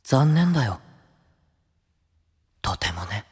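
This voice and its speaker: An actor playing a calm, enigmatic, stubborn boyish knight, speaking in an unhurried, wistful way in a fantasy audio drama. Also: male voice, medium pitch, slightly rough, full character voice. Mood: quiet, disappointed. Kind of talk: anime-style fantasy audio drama acting.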